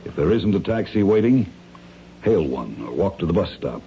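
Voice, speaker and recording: An actor playing a calculating crime schemer in a radio mystery, measured, formal and condescending, with cool menace. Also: male voice, deep voice, sing-song, dark tone. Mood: calmly instructing. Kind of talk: radio mystery drama acting. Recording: dull, hissy old radio recording.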